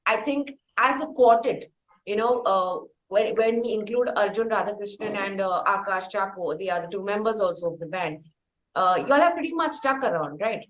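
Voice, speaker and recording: A woman with an Indian accent hosting a conversational interview, feeling her way into questions in a roundabout way with repeats. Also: medium pitch. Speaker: interviewer and podcast host. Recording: echoey room with faint noise.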